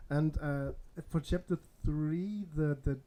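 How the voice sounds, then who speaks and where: A man who composes music, talking casually on a livestream and haltingly searching for his words. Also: on the high side, crowd noise.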